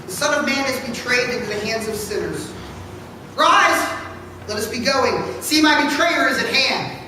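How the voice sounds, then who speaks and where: A man's voice: extremely high pitch, expressive, normal speed, bright, forceful tone, full character voice. A man reading the words of Jesus as a solemn, resolute, declamatory figure in a scripture story. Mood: solemn resolve. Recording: echoey, noisy hall from far off.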